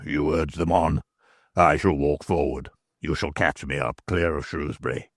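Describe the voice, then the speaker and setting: Reader doing a deep gruff highwayman guy, curt, swearing, kinda desperate. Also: a little slow, dry recording, clean studio sound, exaggerated voice. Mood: grim. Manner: low, clipped, cursing under pressure.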